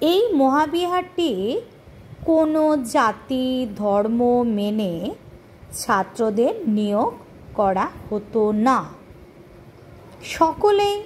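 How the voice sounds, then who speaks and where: A woman dictates a question-and-answer lesson to schoolchildren in a deliberate, measured teaching manner. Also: high-pitched, a little hiss or hum. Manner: deliberate, spelling out punctuation as dictation. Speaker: history tutor for schoolchildren.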